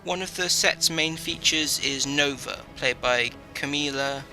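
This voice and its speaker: Casting: man for review voice-over, crisp and very bright, light music bed underneath. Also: on the high side, measured pace. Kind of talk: scripted video review narration. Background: faint music.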